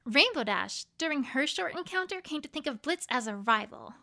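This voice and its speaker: High, bright, bookish girl unicorn, voiced by a woman. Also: expressive, normal speed, gravelly, exaggerated voice. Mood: explanatory. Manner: explanatory, careful, slightly formal. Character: earnest, bookish young female unicorn pony.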